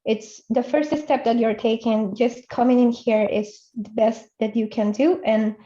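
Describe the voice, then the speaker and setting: A woman introduces herself on a video call, speaking English as a with a few small grammar slips. Her voice is slightly high but mellow and soft-edged, and she sounds encouraging. The audio is dull and lo-fi. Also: fairly even intonation, a little slow. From Peru. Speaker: entrepreneurship facilitator.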